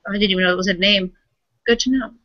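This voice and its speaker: A woman's voice: mid-range voice, no room sound, muffled recording. A woman playing a tabletop roleplaying game, talking casually in character and often correcting herself as she explains. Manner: halting, self-correcting, explanatory.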